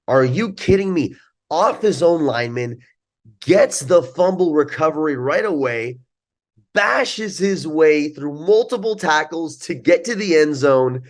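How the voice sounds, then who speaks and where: Slow-talking male sports show host. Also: medium pitch. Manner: enthusiastic, emphatic. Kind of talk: scripted segment presenting.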